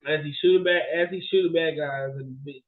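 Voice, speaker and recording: A man co-hosting a podcast in casual chat, rambling in an animated way and repeating his phrases. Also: fairly high pitch, brisk, phone-quality sound. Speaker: podcast co-host. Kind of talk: casual chat about bad movies.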